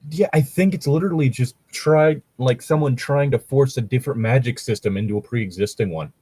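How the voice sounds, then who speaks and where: A man's voice: medium pitch, fairly quick, warm tone, breathy edge, no room sound. A man co-hosting a podcast in casual chat, swearing freely and complaining dryly as he reads and picks things apart. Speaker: podcast co-host. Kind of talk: casual chat, reading and mocking fan fiction.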